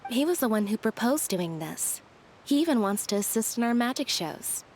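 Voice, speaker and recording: Calm, deadpan magician's assistant. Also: female voice, a little high, moderate pace, a little hiss or hum. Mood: matter-of-fact. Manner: matter-of-fact, dry, teasing. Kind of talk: anime-style video game voice acting.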